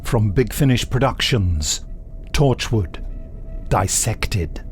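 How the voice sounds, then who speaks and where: A male announcer reads a scripted trailer line. His voice is fairly low and very slow, with clear music behind it. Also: expressive.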